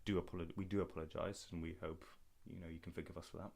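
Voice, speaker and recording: A man's voice: low voice, flat delivery, very fast, creaky, a little mellow. A young man from Berlin co-hosting a casual podcast, chatting informally to listeners. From Berlin, Germany. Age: young adult. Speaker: podcast co-host.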